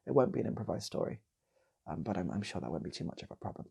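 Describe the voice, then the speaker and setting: A man's voice: sits low, very animated, racing, gravelly, dark tone, husky. A man with a British accent telling a casual improvised comic story as a channel host, rambling and repeating his phrases.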